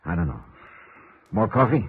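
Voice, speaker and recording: An actor playing a tough, wry insurance investigator in 1950s radio noir, clipped and dry. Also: male voice, fairly low pitch, very animated, moderate pace, husky, dry recording, heavily acted. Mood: cool, guarded. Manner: clipped, hard-boiled, dry. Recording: dull vintage radio recording, faint music.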